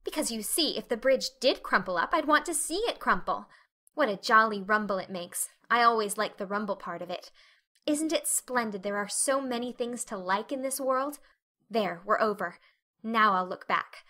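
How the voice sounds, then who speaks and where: A woman voicing a dreamy, chatty, excitable eleven-year-old girl in a dramatic audiobook, effusive and breathless in manner. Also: a little high, moderate pace. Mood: dreamy, delighted. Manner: effusive, breathless, wide-eyed.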